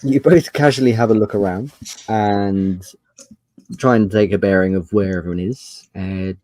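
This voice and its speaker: A man with a lowish voice acts as game master for a tabletop role-playing session. He narrates off the cuff in a casual, halting way, thinking aloud. The sound is dry and close. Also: darkish tone, dry recording, rough recording.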